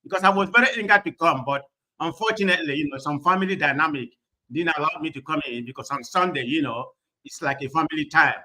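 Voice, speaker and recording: A man's voice: on the high side, quick pace, pushed and bright. A man on a call-in broadcast who talks bluntly and rambles, filling his speech with "you know". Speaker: caller on a live broadcast. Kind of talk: heated call-in debate.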